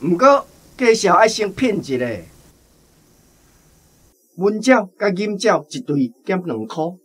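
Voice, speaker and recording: An actor with a Taiwanese accent playing a salesmanlike bird-company manager in a radio drama. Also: male voice, high-pitched, very animated, takes it slowly, slightly rough, exaggerated voice. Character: brisk bird-company manager selling chicks.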